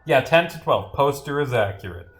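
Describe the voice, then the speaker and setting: A man with a medium-pitched voice streams a playtest in a casual, rambling way. He reads dialogue aloud and breaks off for dry asides, with music playing behind him. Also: lively intonation, moderate pace, fairly bright tone. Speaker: streamer, game developer. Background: game music.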